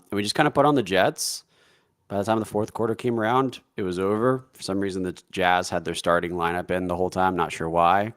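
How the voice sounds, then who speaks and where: Low-voiced man hosting a sports podcast. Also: no echo, studio quality. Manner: relaxed, rambling, with fillers. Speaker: podcast host. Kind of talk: casual sports podcast chat. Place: home room by back door.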